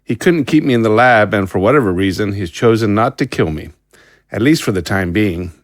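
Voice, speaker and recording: An actor playing a guilt-ridden, resigned scientist held prisoner in an audio drama, speaking formally and articulately with regret. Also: male voice, lowish voice, animated, brisk, no room sound, studio quality, lightly acted. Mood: guilty, bitter.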